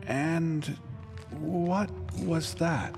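An actor playing a timid, courteous pit worker in a comedic fantasy audio drama, speaking formally and hesitantly. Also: male voice, a little high, steady tone, drawn-out words, gravelly, over music, exaggerated voice.

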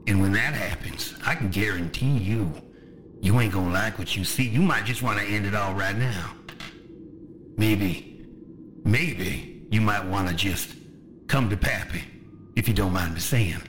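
A man's voice: fairly low pitch, a bit bright, a little hiss or hum. A man voicing a chatty, menacing undead rotting monster with a folksy Southern drawl, musing at length in a tabletop game. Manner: folksy, drawn-out musing monologue.

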